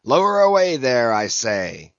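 A man voicing a commanding whaling captain in a sea-novel audiobook, barking orders imperiously in a thunderous shout. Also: mid-range voice, big pitch swings, measured pace, no echo, muffled recording.

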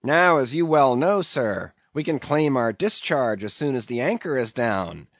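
A rough sailor ringleader, as a male reader puts him on, stands his ground with forceful, defiant speech. His voice sits a little above the reader's own. The recording is dull and dry. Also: medium pitch, expressive, unhurried, dry recording.

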